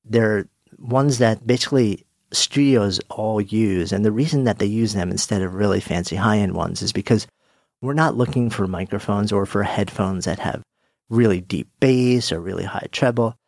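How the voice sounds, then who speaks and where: A man with a deep voice and a bit of fry talks through his recording gear in a relaxed, friendly way. The sound is dry and close, with no room around it, as he switches between a studio microphone and a headset. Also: moderate pace, dry recording. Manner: friendly, demonstrative, self-deprecating. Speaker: podcaster showing his gear. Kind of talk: casual explainer talk. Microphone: studio dynamic mic on swing arm, later headset mic.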